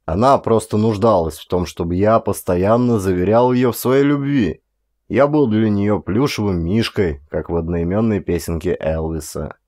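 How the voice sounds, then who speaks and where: A middle-aged man narrates fiction in a fairly low voice. He reads in a scripted, dramatic storytelling style, as an actor does for an audiobook. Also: moderate pace, a little mellow.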